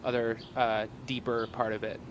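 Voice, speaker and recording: A man giving a casual interview as a guest, speaking in a hesitant, halting way with wry asides, outdoors in an alley. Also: medium pitch, little pitch movement, dull audio. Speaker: guest, actor and playwright. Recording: street noise, traffic outdoors.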